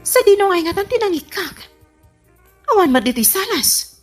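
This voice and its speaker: A woman's voice: extremely high pitch, big pitch swings, normal speed. A woman acting a strict, irritable wife and mistress of the house in an Ilocano radio comedy-drama, with an Ilocano Filipino accent and a scolding, imperious manner. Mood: bossy, irritated. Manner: imperious, scolding, easily exasperated. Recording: music under the voice.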